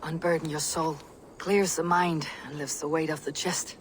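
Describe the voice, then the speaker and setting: A woman's voice: deep voice, level tone, moderate pace, a little hiss or hum, lightly acted. An actress playing a stoic, self-assured warrior woman in a game, terse and direct. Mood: calm, direct. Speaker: protagonist. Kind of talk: game cutscene acting.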